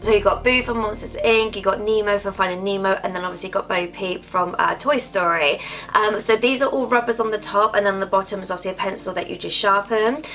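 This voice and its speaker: A woman's voice: mid-range voice, quick pace, fairly bright tone. A woman talks casually through a haul, sounding a little stuffy and nasal from a cold. Her chat rambles along with plenty of fillers, set over faint background music. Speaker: vlogger.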